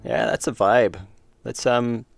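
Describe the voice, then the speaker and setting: A man streaming as an indie game developer, chatting casually and thinking aloud as he answers chat, with a deep voice. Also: steady tone, slow, vocal fry. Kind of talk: casual livestream commentary while making music. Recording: loud music playing under the voice. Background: loud music from his own track.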